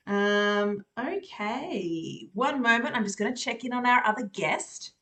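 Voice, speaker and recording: An Australian woman hosts a relaxed live stream, chatting warmly and laughing between her sentences. She fills her pauses with plenty of ums and uhs. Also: a little high. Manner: chatty, laughs often, many fillers. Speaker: host. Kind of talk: casual live-stream chat.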